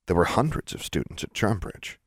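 A man's voice: deep voice, animated, brisk, breathy edge, no echo, studio quality. A man voicing a blunt, curious teenage girl who talks casually.

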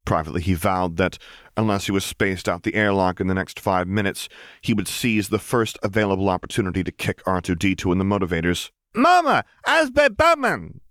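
A man reading a story aloud as an audiobook narrator, in an expressive scripted style. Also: low-pitched, a little fast, no room sound, studio quality.

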